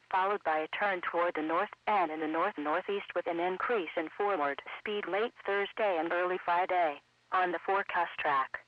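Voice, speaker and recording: High synthetic man voice doing weather radio bulletins, impersonal, dry and dull radio audio. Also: no room sound. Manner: synthetic, measured, impersonal delivery. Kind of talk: scripted weather bulletin reading.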